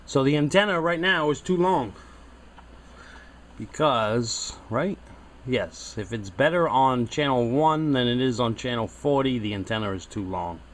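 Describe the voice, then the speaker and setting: A man's voice: medium pitch, lively intonation, unhurried, slight background noise. A man giving a casual hands-on demonstration as a hobbyist host, unscripted and thinking aloud as he goes. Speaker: hobbyist video host testing radio antennas.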